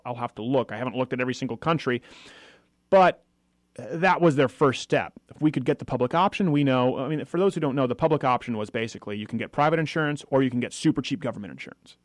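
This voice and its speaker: A young adult man hosting a talk radio show from a studio. He talks in fast, argumentative bursts full of asides, and his middle-pitched voice sounds close and completely dry. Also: dry recording.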